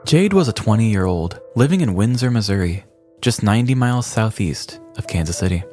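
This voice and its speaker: Deep-voiced man narrating over music. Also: expressive, fairly quick, warm tone. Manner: mock-dramatic, tongue-in-cheek storytelling. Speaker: podcast host. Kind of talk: scripted comedic narration.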